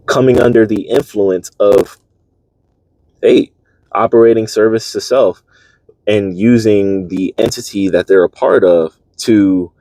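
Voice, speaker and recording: Man, low pitch, dry close sound, earnest, halting, reflective spiritual reader and commentator. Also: dark tone, dry recording, low-quality recording. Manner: earnest, halting, rhetorical questions.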